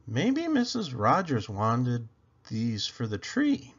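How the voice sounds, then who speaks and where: A man's voice: medium pitch, wildly swooping pitch, slow, muffled recording, exaggerated voice. A man voicing a cheerful, literal-minded housekeeper woman from a children's storybook, earnest and exclamatory. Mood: earnest, pondering.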